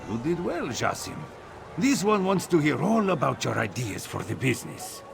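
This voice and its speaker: A man's voice: a little high, very animated, normal speed, vocal fry, music in the background, full character voice. A man playing a proud older cat-folk merchant uncle in a video game, speaking formally. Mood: impressed, proud. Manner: speaks of himself in third person, formal. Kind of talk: scripted game dialogue, AI-voiced.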